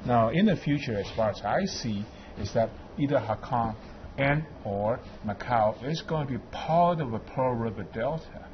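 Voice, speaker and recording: A man's voice: medium pitch, normal speed, dull audio, a little hiss or hum. A man from Beijing, a guest on a studio panel, making his points with emphatic, listing delivery. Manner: animated, emphatic, lists points.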